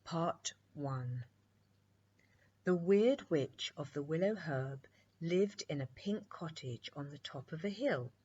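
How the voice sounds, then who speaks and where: A woman reading a children's bedtime story in an expressive, deliberate storytelling manner, on a muffled recording. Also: sits low, husky. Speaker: storybook reader.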